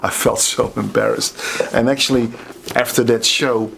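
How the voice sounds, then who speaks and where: A middle-aged Dutch drummer with a Dutch accent, telling anecdotes in a chatty, casual way to the camera. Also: male voice, mid-range voice, steady tone, moderate pace, vocal fry, a bit bright, slight background noise. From Netherlands. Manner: chatty, anecdotal, laughs at his own stories.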